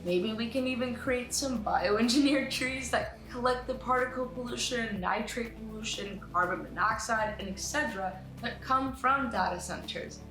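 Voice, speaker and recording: A woman giving an articulate, earnest scripted pitch as a filmmaker presenting her project, with some light asides. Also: moderate pace, hardly any pauses. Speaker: animator and writer pitching a short film. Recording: roomy echo with music behind. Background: music.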